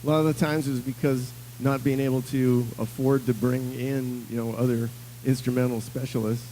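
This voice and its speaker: A man from upstate New York speaking in a flat, even, mellow tone, explaining things plainly as a guest on a radio show. A faint hum runs underneath. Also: mid-range voice, moderate pace, poor audio. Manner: plain, matter-of-fact. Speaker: multi-instrumentalist guest.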